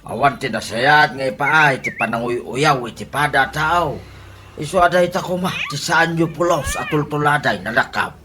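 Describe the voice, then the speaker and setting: A man's voice: a little high, moderate pace, bright, forceful tone. A man with a Filipino accent playing a stern, moralizing older father in a radio comedy drama, with a preachy delivery. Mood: admonishing. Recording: noisy background.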